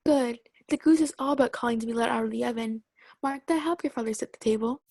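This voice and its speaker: A woman's voice: a little high, quick pace, creaky, darkish tone, a little hiss or hum. A woman playing a bustling, affectionate Victorian mother running her household in a radio play.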